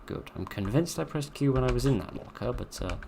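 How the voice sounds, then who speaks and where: A hoarse man giving dry, wry, rambling commentary while playing a horror game at his computer. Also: medium pitch, animated, a little fast, noisy background. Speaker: gameplay commentator. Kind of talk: let's play commentary.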